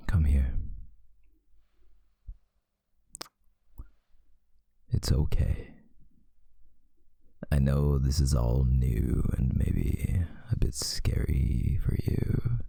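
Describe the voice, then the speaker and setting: A man voicing a possessive yet tender male vampire lover in an intimate, hushed ASMR roleplay, often slipping into a whisper. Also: dry recording, studio quality, heavily acted. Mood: tender, soothing. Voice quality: very deep, breathy, gravelly, often whispering. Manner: slow, hushed, soothing, seductive.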